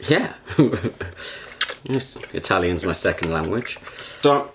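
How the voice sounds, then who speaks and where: A man's voice: low-pitched, animated, slow pace, a little hiss or hum. A young man, chatting casually about his home cooking in a laid-back, slangy, joking way. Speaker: home cook.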